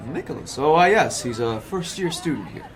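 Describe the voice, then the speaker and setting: A man's voice: fairly high pitch, expressive, moderate pace, a faint crowd, lightly acted. A man playing a fussy, evasive professor. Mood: charming. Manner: polished, wordy and lecturing, with hesitant fillers. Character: smooth, fussy male college professor, nervous and evasive. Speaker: psychology professor.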